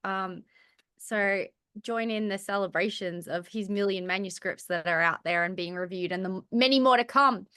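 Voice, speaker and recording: A woman's voice: mid-range voice, moderate pace, sharp tone, clear, resonant tone, no room sound, studio quality. A woman hosting a livestream reading, enthusiastically welcoming the next reader over a video call. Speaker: host of reading series.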